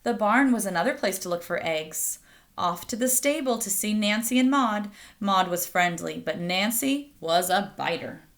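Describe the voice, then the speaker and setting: Expressive woman reading a picture book aloud. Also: mid-range voice. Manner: pauses to ask listeners questions. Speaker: read-aloud storyteller.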